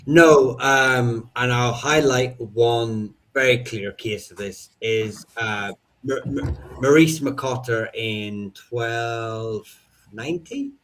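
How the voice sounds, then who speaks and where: A man recounting medieval court cases eagerly, with quick asides and restarts. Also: medium pitch, fairly bright tone, poor audio. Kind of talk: casual discussion.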